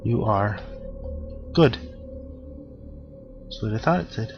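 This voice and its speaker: A man hosting a horror game playthrough, gravelly and a bit hoarse from allergies, joking and talking back to the game. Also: on the low side, lively intonation, slow. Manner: jokey, talks to the game, gasps at scares. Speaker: gaming channel host. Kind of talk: casual horror game commentary. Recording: dull lo-fi audio with game music. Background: game music.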